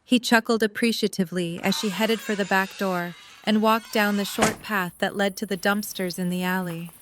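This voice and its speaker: A woman's voice: mid-range voice, steady tone, moderate pace, background noise. A woman reading scripted story narration in the third person.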